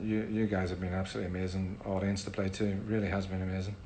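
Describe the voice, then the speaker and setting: A middle-aged man with a Northern Irish accent, casually answering fan questions in a relaxed, chatty way with frequent ums. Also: low-pitched, fast talker, slight background noise. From Northern Ireland. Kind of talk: casual livestream Q&A.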